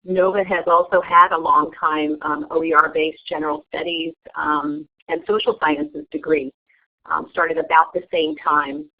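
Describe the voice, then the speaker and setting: Lady running a webinar, kinda low voice, lots of ums, tinny call audio. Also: steady tone, measured pace. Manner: hesitant, many fillers. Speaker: webinar host. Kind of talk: online meeting presentation. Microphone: web conference audio. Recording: tinny phone-like line.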